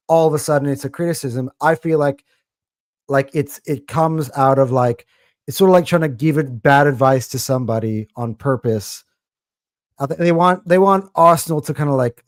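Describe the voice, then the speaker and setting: A man's voice: medium pitch, no echo, clean studio sound. A man with an American accent co-hosting a casual sports podcast, talking animatedly and rambling with many fillers. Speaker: podcast co-host.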